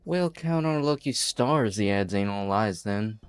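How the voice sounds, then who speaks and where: A man playing a calm, menacing leader of hostage takers in an amateur game fan dub, unhurried, folksy and coolly threatening. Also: lowish voice, smooth, no room sound, faint music behind. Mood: cool, principled.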